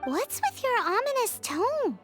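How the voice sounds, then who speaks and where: Tiny squeaky game fairy kid, super high and bouncy, perky n blunt, music behind. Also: female voice, very animated, unhurried, a bit bright, full character voice. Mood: cheeky, curious. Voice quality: squeaky, childlike. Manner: refers to self in third person, exclamatory. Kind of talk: video game voice acting.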